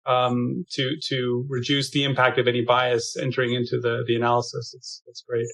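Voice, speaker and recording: A man's voice: medium pitch, monotone, normal speed, fairly bright tone. A man hosting a podcast, asking questions in an enthusiastic, chatty way through a studio microphone. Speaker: podcast host. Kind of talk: interview questions, casual. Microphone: studio mic through processor.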